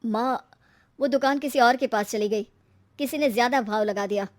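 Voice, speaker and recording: Woman voicing an earnest young husband, high-toned. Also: moderate pace, close to an everyday voice. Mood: dejected. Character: Rajesh, young married son, earnest, easily exasperated shopkeeper.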